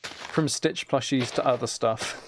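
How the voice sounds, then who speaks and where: A man's voice: mid-range voice, a little slow, noisy background. A man chatting casually and teasingly to his viewers while playing a game on a livestream.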